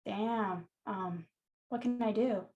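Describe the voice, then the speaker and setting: A woman's voice: medium pitch, slow, warm tone, muffled recording. A woman acting in a radio play as a friendly, flirtatious florist, casual, wry and sympathetic.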